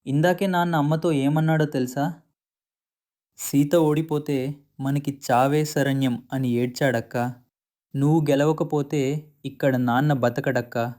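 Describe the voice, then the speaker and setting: A man's voice: on the high side, steady tone, measured pace, pauses often, a little mellow, lightly acted. A young man voicing an angry, tearful younger brother scolding his sister. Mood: upset. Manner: upset, scolding, emotional.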